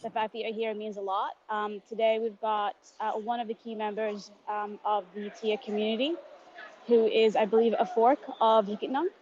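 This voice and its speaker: A woman with a medium-pitched voice hosts a live online session, giving a casual introduction. The sound is somewhat dull, with faint background noise. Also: flat delivery, moderate pace, darkish tone. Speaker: host introducing a guest. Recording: dull, faintly noisy online audio room.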